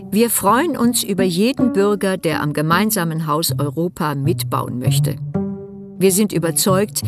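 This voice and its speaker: An actress playing a dismissive, formally polite European official, reading letters aloud in a bureaucratic manner for a German radio play. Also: female voice, deep voice. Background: prominent music.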